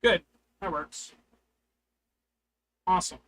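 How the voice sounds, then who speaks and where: A man's voice: high voice, takes it slowly, pushed and bright, dry recording. A man streaming from a hot room, his voice crackly and strained, chattering to himself while he plays. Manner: rambling, talking to himself while playing. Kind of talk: casual live-stream chatter. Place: hot, humid room with two PCs.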